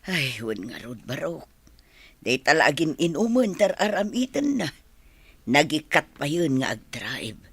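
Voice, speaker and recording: A woman playing a worried, nagging middle-aged mother in a radio drama, with a husky voice and a Filipino accent. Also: sits low, sing-song, unhurried, heavily acted.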